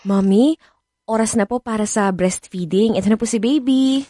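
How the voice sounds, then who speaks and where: A woman's voice: a little high, normal speed, mellow, no echo, clean studio sound, soft background music, close to an everyday voice. A woman playing a polite, helpful hospital nurse in a radio drama. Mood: courteous.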